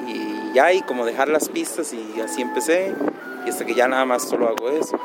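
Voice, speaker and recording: A man's voice: medium pitch, brisk, loud music behind the voice. A man from Costa Rica, a street guitarist, telling his story in an easygoing, hesitant way. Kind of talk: casual interview answers.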